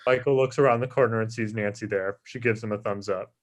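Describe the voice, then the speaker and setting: A man reading stage directions in a flat, matter-of-fact way as scripted narration for a table read. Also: lowish voice, fast.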